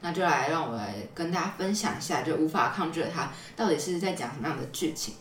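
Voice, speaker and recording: Low-voiced young Taiwanese woman, roomy and distant. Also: lively intonation, fast talker, slight background noise. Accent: Taiwanese Mandarin. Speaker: podcast host. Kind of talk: casual conversational storytelling. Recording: roomy echo, off-mic, music underneath.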